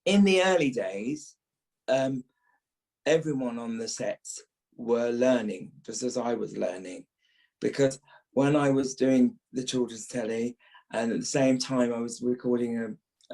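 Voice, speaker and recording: A British man in his early fifties talks about his past as an interview guest. His voice is that of a trained actor, sitting at an average male pitch. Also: measured pace, vocal fry, a little mellow, low-quality recording. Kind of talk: reminiscing in a podcast interview.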